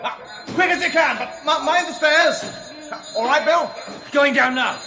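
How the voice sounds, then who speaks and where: An actor playing a panicked man shouting urgent orders in a radio drama. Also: very high voice, unhurried. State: shouting, coughing. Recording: echoey space with clear music behind.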